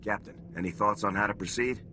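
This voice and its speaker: An actor playing a firm, authoritative military squad leader in a video game. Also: male voice, on the low side, fast, sharp tone, a little hiss or hum. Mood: resolute. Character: commanding male soldier, resolute squad leader.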